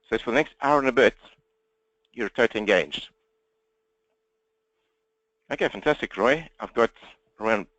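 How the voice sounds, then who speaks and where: A man with a South African accent presenting an interactive webinar, talking fast in a direct way and engaging listeners with questions. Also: mid-range voice, fairly bright tone, no echo, dull audio. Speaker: webinar presenter and coach for accountants. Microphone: computer webinar microphone, presenter standing.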